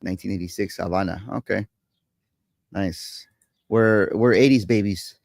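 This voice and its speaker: A man in his thirties hosting a casual interview, relaxed and chatty with plenty of fillers. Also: low voice, a little slow, vocal fry, dry recording. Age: 30s. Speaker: host.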